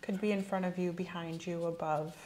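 A soft-spoken woman in an ASMR medical exam roleplay, giving gentle, measured instructions as the examiner. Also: fairly low pitch, steady tone, slight background noise. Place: small indoor room set up as an exam room.

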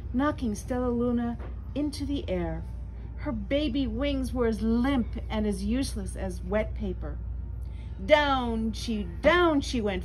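A woman in her sixties reading a picture book aloud to children in a slow, dramatic storytelling manner, with little asides. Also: fairly high pitch, low-quality recording. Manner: slow, dramatic, deliberate phrasing. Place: small bookstore, indoors. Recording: clear background room noise.

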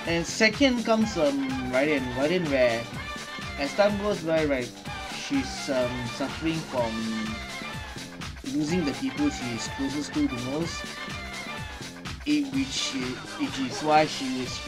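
A young man gives casual gaming commentary. His pitch sits a little high and moves around a lot, while his delivery is slow and halting as he thinks out loud. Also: animated, over music, rough recording. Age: young adult. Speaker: gaming reaction video host. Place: small bedroom.

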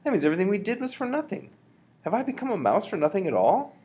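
A man voicing an anxious, eager young boy who has been turned into a mouse, with a high, urgent, exclaiming delivery. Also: big pitch swings, fast, dark tone, breathy edge, exaggerated voice. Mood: anxious, pleading.

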